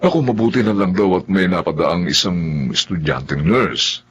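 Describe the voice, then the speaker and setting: An actor playing a weary, grumbling working-class husband in a radio drama, exasperated and colloquial. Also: male voice, low-pitched, moderate pace, muffled recording, noisy background, natural voice. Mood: gossipy. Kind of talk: Filipino radio drama acting.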